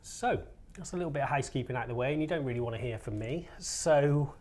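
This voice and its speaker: A man's voice: medium pitch, fast talker, a little mellow. A man hosting a casual interview, chatty and rambling, with lots of small agreeing sounds. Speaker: interview host.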